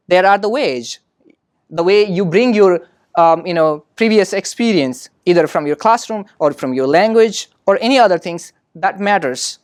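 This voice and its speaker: A man with a non-native English accent giving an informal lecture as an academic speaker, in halting, repetitive phrases dotted with rhetorical questions. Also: sits high, no echo, studio quality. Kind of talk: informal lecture drawing on own experience.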